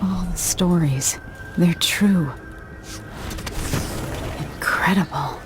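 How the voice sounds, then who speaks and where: An actress playing an earnest young deposed empress in a video game, musing aloud in a hushed, breathy voice. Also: female voice, on the low side, extremely slow. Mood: awed, resolved. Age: young adult. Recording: game music behind the voice.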